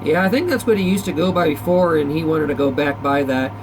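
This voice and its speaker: A man's voice: fairly high pitch, fairly quick, background noise. A man chatting casually as a CB radio operator, in a folksy, slangy, rambling way. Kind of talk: casual chat over CB radio. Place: back-room radio shack.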